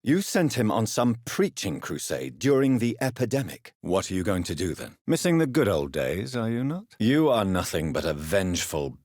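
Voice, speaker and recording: A man playing a grave, educated gentleman doctor and vampire in a video game, speaking formally and precisely, courteous but stern, in a husky low voice. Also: no echo, clean studio sound. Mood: probing. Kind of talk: scripted video game character dialogue.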